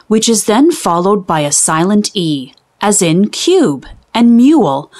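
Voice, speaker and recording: A woman hosting a children's read-aloud, reading a storybook expressively with deliberate, carefully enunciated teaching delivery. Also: medium pitch, darkish tone.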